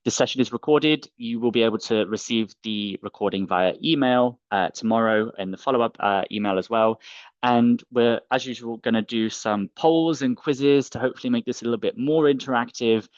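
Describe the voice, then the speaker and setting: A man hosting a live training webinar, talking in a relaxed way with many fillers. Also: medium pitch, a little fast, no room sound, muffled recording. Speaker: training team manager, webinar host.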